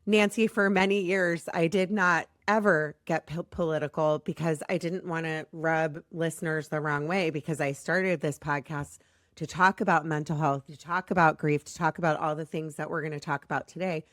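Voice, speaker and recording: An American woman hosting a podcast in a chatty, rambling, self-deprecating way, her voice slightly raspy as it recovers from being lost. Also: low-pitched, steady tone, moderate pace, dry recording, clean studio sound. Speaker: podcast host.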